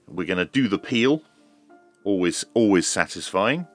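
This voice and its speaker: A man's voice: low-pitched, lively intonation, a little slow, faint music behind. A man casually narrating a hands-on product unboxing and review in an informal, running commentary. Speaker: host of a radio review channel.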